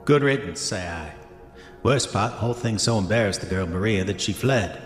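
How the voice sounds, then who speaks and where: A man acting as a haunted, roguish gold prospector with a low voice, intoning his diary for a horror audio drama. His diction is formal, old-fashioned and deliberate, full of self-justification. Long hall reverb surrounds him. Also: animated, close to an everyday voice. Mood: smug, irritated. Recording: echoey hall reverb, music underneath.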